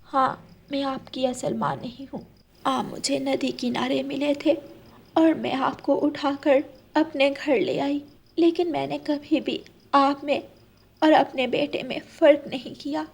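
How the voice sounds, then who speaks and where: A woman voicing a gentle, caring mother bird in a children's cartoon, soft-spoken and tender. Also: sits high, fairly even intonation, a little slow, gravelly, pitched above the performer's own voice. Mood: tender, sorrowful. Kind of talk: Hindi children's cartoon voice acting.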